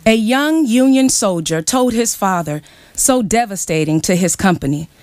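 A woman's voice: mid-range voice, animated, moderate pace, darkish tone, no room sound. A woman reading literary prose dramatically at a live bookshop event. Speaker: reader in a staged book reading. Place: bookshop event before a seated audience.

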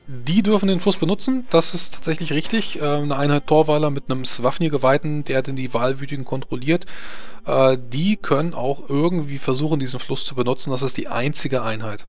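A man running a tabletop game as game master, explaining rules in a measured way with many hesitations. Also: mid-range voice.

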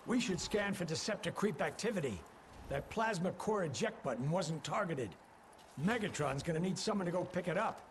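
High-voiced eager robot soldier. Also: male voice, lively intonation, moderate pace, slight background noise. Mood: alert. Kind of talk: cartoon-style video game acting. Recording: loud music behind voice.

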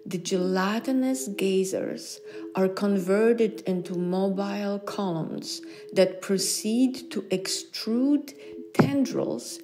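A woman reading literary science fiction aloud in measured, deliberate phrases. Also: medium pitch, dark tone. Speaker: reader. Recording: clearly audible background ambient noise.

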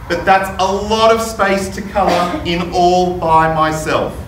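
A man's voice: high voice, sharp tone, heavily acted. Man acting a weary, exasperated storybook crayon. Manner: complaining, pleading. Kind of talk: animated storybook read-aloud for children. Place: school classroom, far camera. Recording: distant, roomy classroom with noise.

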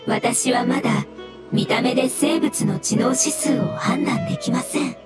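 High, very formal little mushroom creature. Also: female voice, unhurried. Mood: polite, surprised. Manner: formal, polite, pedantic. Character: polite, earnest, literal-minded mushroom-like elemental creature. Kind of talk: anime game voice acting. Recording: loud music behind the voice.

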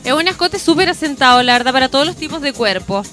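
Woman, high bright voice, rapid enthusiastic talk about fabrics, faint music behind. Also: expressive. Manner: enthusiastic, talks fast. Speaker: fashion designer's representative. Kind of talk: describing clothes on a live show. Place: outdoor terrace at night. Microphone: handheld microphone.

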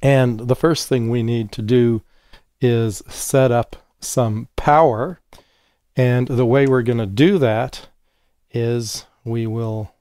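Slow, hoarse man explaining a bench demo. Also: medium pitch, mellow, dry recording, clean studio sound. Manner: unhurried, explanatory, thinking aloud while working. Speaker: electronics educator. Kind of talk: hands-on technical demonstration. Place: home studio with monitors and workbench.

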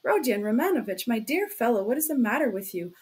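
A woman's voice: fairly high pitch, very animated, fast talker, darkish tone. A woman voicing a sly, fussing male police investigator in an audiobook, wheedling and chattering as he repeats himself. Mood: alarmed, fussing. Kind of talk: audiobook character acting.

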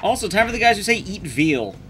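A man's voice: sits high, sing-song, fast talker, pushed and bright, soft background music. A man in his thirties giving casual, joking live game commentary and chatting with his viewers. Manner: casual, joking, swears mildly. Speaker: streamer and speedrunner. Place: small indoor room at home, streaming.

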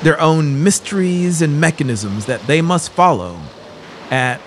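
A man in his thirties narrating a horror story in a deliberate, formal, intense, dramatic way. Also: fairly high pitch, noisy background. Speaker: audiobook narrator. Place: small brick-walled room studio. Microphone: close boom-mounted broadcast microphone.

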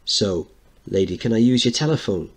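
A man's voice: fairly low pitch, expressive, normal speed, slightly rough. A man voicing a child who plays at being a swaggering, commanding American tough guy, in a play reading. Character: children playing tough American lawman, cowboy, sergeant.

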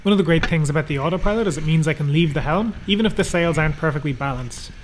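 A man narrating a how-to sailing vlog in a measured, explanatory manner. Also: a little high, noisy background. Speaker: sailing vlogger.

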